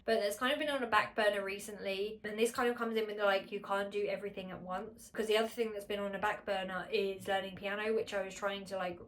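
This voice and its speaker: A woman with a slightly nasal, congested voice, chatting casually to camera at home, rambling, filling her talk with "like" and correcting herself. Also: mid-range voice. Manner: rambling, with many filler "like"s and self-corrections. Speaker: vlogger. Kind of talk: casual unscripted chat to camera.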